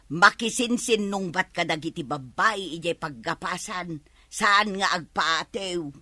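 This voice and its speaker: A woman's voice: on the low side, unhurried, pushed and bright, dry recording, heavily acted. A woman playing a disapproving mother who admonishes her grown daughter in a radio drama. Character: disapproving older mother scolding about her son-in-law.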